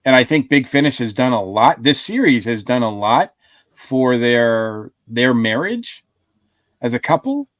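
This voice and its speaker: A man's voice: mid-range voice, lively intonation, measured pace, a bit bright, no room sound, clean studio sound. A man co-hosting a casual podcast discussion, thinking aloud with many fillers. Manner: thoughtful, hedging with fillers. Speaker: podcast co-host.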